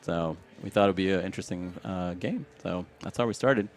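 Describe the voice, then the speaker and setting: Deep gravelly guy from sri lanka demoing his game at a show, lots of ums and restarts. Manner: halting, many fillers and restarts. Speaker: game developer, studio founder. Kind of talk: casual demo walkthrough. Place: live event floor.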